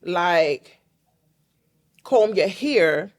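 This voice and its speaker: A woman's voice: on the low side, lively intonation, drawn-out words. A woman hosting a casual talk show, asking blunt and playful questions with emphasis. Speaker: host.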